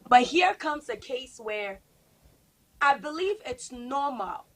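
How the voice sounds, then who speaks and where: A woman's voice: high-pitched, lively intonation, takes it slowly, fairly bright tone. Reflective woman guest pastor. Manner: reflective, stumbles and repeats words. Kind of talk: casual call-in discussion.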